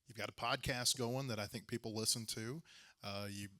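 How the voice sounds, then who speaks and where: A man's voice: fairly low pitch, fairly even intonation, moderate pace, bright, forceful tone. A man hosting a casual interview, informal and full of anecdotes. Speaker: host and sports journalist interviewing a guest.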